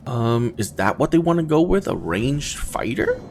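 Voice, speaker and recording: Lively-pitched man musing over a video game. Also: normal speed, creaky, slight background noise. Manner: hesitant, musing, trailing off between thoughts. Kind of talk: casual thinking-aloud game commentary.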